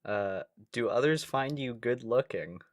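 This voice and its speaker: A man's voice: medium pitch, lively intonation, unhurried, dry recording, studio quality. A young man co-hosting a podcast in casual comedic chat, jokey and self-deprecating, sometimes reading questions aloud. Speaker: podcast co-host.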